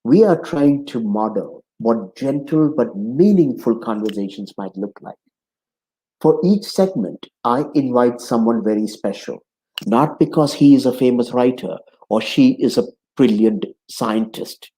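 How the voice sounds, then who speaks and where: A man in his sixties hosting a conversation show, speaking in an earnest, measured way from his home office. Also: mid-range voice, expressive, warm tone, rough recording. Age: 60s. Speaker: host. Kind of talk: hosted conversation opening monologue.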